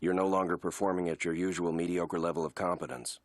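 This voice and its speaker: A man voicing a stolid cartoon detective's partner in a deadpan, formal and wordy manner, with a husky voice. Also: sits low, fast, very noisy, lightly acted. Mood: deadpan reproof. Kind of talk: animated comedy acting.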